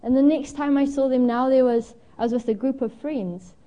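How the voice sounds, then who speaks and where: A woman's voice: high-pitched, normal speed, mellow, lo-fi sound. A young woman from Zimbabwe preaching a candid, storytelling sermon at a church, with frequent ums and self-deprecating asides. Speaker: guest preacher.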